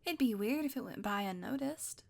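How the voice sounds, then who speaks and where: A woman's voice: fairly high pitch, lively intonation, moderate pace, vocal fry. A young woman voicing an obsessive, possessive witch in an audio roleplay, talking sweetly and coaxingly and often dropping to a whisper. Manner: sweet, coaxing, softly controlling, slips into whispers.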